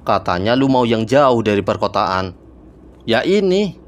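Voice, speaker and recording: A man's voice: mid-range voice, sing-song, unhurried, slight background noise. Casting: male actor as easygoing, slightly cocky young cartoon guy on holiday, Jakarta slang, matter-of-fact, mid-range voice. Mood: mildly annoyed. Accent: Jakarta Indonesian. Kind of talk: colloquial cartoon dialogue.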